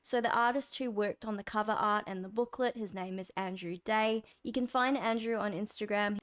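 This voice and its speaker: A young woman hosting a music reaction channel, chatting brightly to camera in a slightly croaky voice. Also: medium pitch. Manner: bubbly, enthusiastic. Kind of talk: casual chat to camera.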